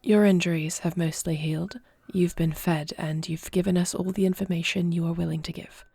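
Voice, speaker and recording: A woman plays an earnest fantasy princess who leads in war. Her voice is low and mellow with a gravelly edge, and she speaks formally and solemnly, as in a scripted audio drama. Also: steady tone, no room sound. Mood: calm, resigned. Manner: formal, solemn, deliberate phrasing. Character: young adopted princess and war leader, earnest, measured, regal. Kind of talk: scripted audio roleplay acting.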